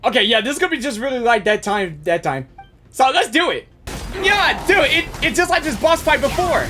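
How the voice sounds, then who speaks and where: Game reaction streamer, bright voice with big pitch swings, excitable, repetitive phrasing, casual. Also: fairly quick, faint music behind. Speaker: gaming streamer.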